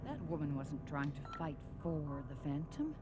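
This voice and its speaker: An actress playing a commanding, vengeful corporate villain in an animated action series, cold and imperious, with music behind her. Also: low-pitched, normal speed. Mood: cold scheming.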